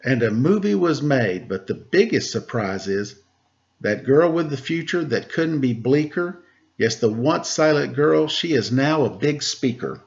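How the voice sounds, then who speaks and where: A man, a teacher, reading a rhyming picture book aloud to children in a lively way and asking them questions. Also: mid-range voice, measured pace, gravelly, highs cut off. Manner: lively rhyming delivery with rising questions to listeners. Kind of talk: storybook read-aloud to children.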